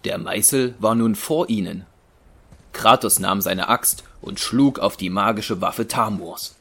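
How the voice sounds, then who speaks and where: A man's voice: on the low side, unhurried, gravelly, bright, forceful tone, breathy edge, heavily acted. A man as the narrator of a heroic saga, reading scripted, solemn audio drama narration. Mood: measured.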